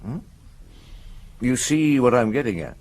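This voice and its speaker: An actor playing a shrewd, calm police chief inspector in a radio drama, with a thoughtful, probing manner. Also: male voice, mid-range voice. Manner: probing, thoughtful, asks short measured questions. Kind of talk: radio drama acting with first-person narration.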